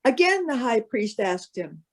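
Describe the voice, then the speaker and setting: A woman narrating a solemn Bible reading as a scripted piece, with measured, careful phrasing. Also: fairly high pitch, sing-song.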